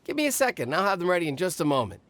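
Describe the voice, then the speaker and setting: A man's voice: high-pitched, wildly swooping pitch, rapid-fire, a bit bright, a little hiss or hum, full character voice. A man playing an earnest, accommodating young drink vendor in a video game, polite and enthusiastic. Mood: eager to please. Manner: enthusiastic, deferential.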